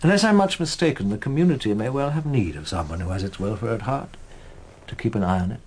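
An actor playing a measured, persuasive intelligence officer in a British radio drama, speaking formally and deliberately with a British accent. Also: male voice, medium pitch, expressive, a little hiss or hum, close to an everyday voice. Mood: insistent, probing.

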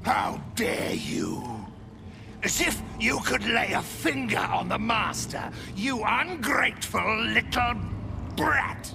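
A devoted, deranged ghoulish servant in a video game. The voice is high and swoops about wildly, with heavy gravel in it. It drawls in a gloating, childish, threatening way. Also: male voice, expressive, takes it slowly, raised voice, over music, exaggerated voice. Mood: outraged. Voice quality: creaky, gravelly. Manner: drawling, refers to self in third person, gloating.

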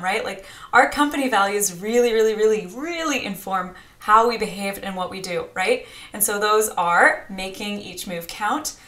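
Woman, thirties, lively coaching talk, middle pitch, roomy echo. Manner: energetic and chatty, talks with her hands. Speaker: grant writing coach. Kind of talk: direct-to-camera teaching vlog. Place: small home studio indoors.

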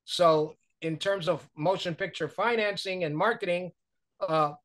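A man's voice: high voice, measured pace, a bit bright. An older man from New York, a guest talking loosely over a video call, with many fillers and restarts. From New York, USA. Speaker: guest, author and publicist. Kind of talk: conversational interview. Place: small room at home. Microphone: video call webcam.

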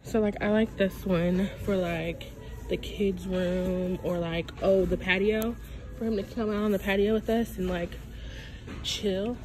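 A woman talks casually and thinks aloud as she films on her phone in a pet store. Her voice sits at a middle pitch. Store music plays clearly in the background. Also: fairly even intonation, unhurried, poor audio. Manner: chatty, thinking aloud, many "like"s. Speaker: vlogger. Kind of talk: casual shopping vlog chat. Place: pet store aisle, indoors. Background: store music playing.

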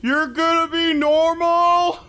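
A streamer giving casual, expressive livestream commentary while playing a game. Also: extremely high pitch, slow. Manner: animated, expressive. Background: game music.

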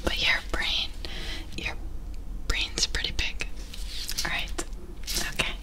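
A woman's voice: on the low side, monotone, slow pace. A young adult woman whispering close to a binaural microphone in an ASMR roleplay as a nervous, squeamish alien doctor, with hesitant, repetitive muttering. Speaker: ASMR performer. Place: small dim indoor room. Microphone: close binaural ear microphone.

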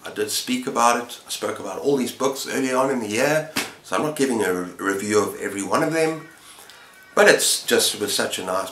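Low-voiced middle-aged man, roomy sound. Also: vocal fry, fairly bright tone. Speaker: book reviewer and channel host. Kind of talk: casual chat to camera about books. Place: small indoor room with bookshelves. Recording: roomy echo, faint background noise.

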